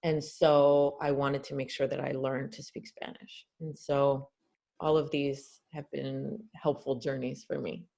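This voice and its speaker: A woman's voice: very deep voice, little pitch movement, unhurried, vocal fry, mellow. A woman from Canada, a guest doctor answering webinar questions on a video call, earnest and explanatory with frequent fillers.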